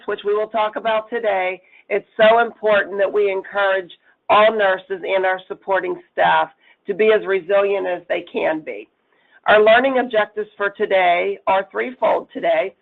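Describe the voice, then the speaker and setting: Hoarse raspy woman on a phone line. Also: mid-range voice. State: hoarse from a cold. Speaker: chief nursing officer presenting. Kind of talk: webinar presentation.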